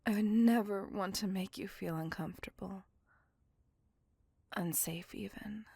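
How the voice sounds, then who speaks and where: A woman playing a courteous, doting, possessive vampire in a hushed, breathy ASMR roleplay, speaking gently in formal, courtly phrases. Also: mid-range voice, unhurried, dry recording. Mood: earnest, reassuring. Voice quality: breathy, hushed near-whisper.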